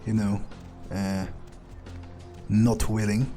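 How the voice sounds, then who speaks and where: A man role-playing a jaded, domineering, foul-mouthed male vampire in a video game, thinking aloud in a contemptuous, deliberate way. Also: lowish voice, flat delivery, mellow. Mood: weary, dry. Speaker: gamer role-playing in character. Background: faint game music.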